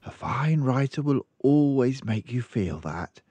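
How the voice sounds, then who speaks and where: A man voicing a kindly, concerned woman librarian from a storybook, with a gentle, proper, advising manner. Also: mid-range voice, lively intonation, unhurried, husky, dry recording, pitched above the performer's own voice. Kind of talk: storybook character voice.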